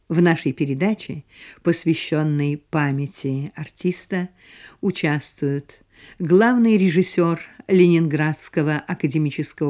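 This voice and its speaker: Radio host lady, lowish soft mellow tone, formal script reading.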